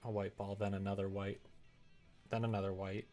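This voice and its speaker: A man's voice: lowish voice, little pitch movement, normal speed, darkish tone, faint music behind. A man livestreaming casually as he works, chatting and muttering to himself while he concentrates. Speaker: live streamer. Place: indoors at a computer.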